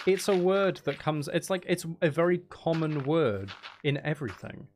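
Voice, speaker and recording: Sarcastic man streamer over clear background noise. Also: a little high, animated, normal speed, darkish tone. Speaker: livestream host. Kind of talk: casual livestream chat.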